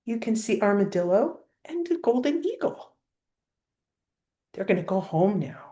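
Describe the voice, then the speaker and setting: A woman's voice: mid-range voice, very animated, measured pace, warm tone. An older woman reading a children's picture book aloud expressively, like a grandmother pointing out pictures to a child.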